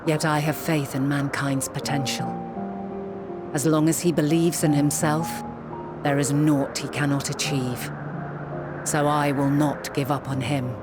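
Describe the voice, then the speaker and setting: An actress playing a wise, resolute leader in a game, speaking in measured, solemn, formal archaic phrases. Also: female voice, low voice, level tone, music in the background. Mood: hopeful. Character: wise, resolute ancient woman leader, grave yet hopeful. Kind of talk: dramatic scripted game acting.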